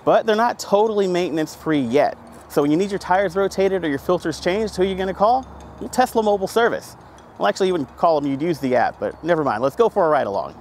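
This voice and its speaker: A man's voice: sits high, expressive, fast, slight background noise. A young man hosting a car show, presenting to camera in an upbeat, conversational way with light jokes, speaking outdoors and from a moving car. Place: outdoors in parking lots and inside a moving car. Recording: voice with music bed underneath. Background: background music.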